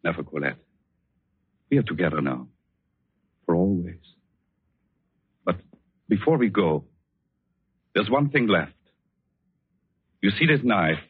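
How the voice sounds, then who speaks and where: An actor playing a grave, devoted man in a 1940s radio suspense drama, speaking deliberately and dramatically. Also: low-pitched, animated, breathy edge, no echo, exaggerated voice. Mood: tender, fatalistic. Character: grave, devoted man confessing the truth to his lover. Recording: muffled, narrow old 1940s radio recording.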